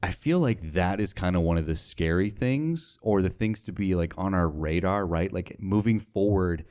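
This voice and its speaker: Young adult male, low-pitched, informal podcast guest who muses as he talks. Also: lively intonation, moderate pace, warm tone, smooth. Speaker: podcast guest, radio sales associate. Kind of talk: casual podcast chat.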